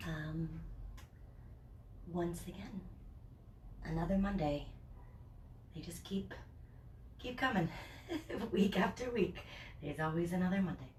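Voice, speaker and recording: A woman with a stuffed-up summer cold teaching a yoga class at home, chatty at first and then giving slow, soothing cues. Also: on the low side, distant mic, a little hiss or hum. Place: home, near a porch patio set. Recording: echoey room from off the mic.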